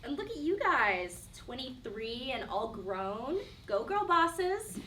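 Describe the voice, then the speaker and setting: A woman playing a giddy, gushing 23-year-old in a staged play reading, playful and quick to defend herself. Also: high voice, sing-song, exaggerated voice. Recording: distant mic, audible room noise.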